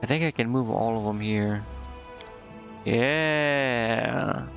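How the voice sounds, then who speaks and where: A man's voice: mid-range voice, takes it slowly, gravelly, fairly bright tone, highs cut off. A man doing casual live game commentary, thinking aloud to himself in slangy, indecisive mutters. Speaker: game streamer. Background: game music.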